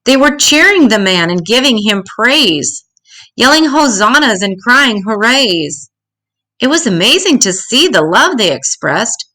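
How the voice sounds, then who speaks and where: An older woman reading a rhyming children's story aloud in an expressive, dramatic, deliberate way. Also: fairly high pitch.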